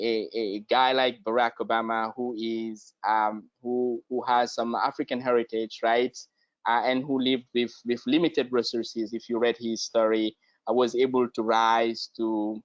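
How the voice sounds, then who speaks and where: A man from Guinea, a guest speaking in a halting way and often repeating words as he searches for them. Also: mid-range voice, no room sound. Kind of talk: conversational interview. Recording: dry, slightly dull lo-fi sound.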